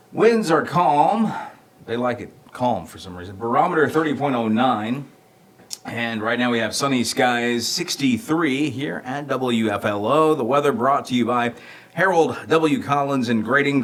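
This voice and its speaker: A man's voice: mid-range voice, a bit bright. A man hosting a casual local radio show, announcing and chatting in a playful, teasing way from a studio. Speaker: radio host. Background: music bed.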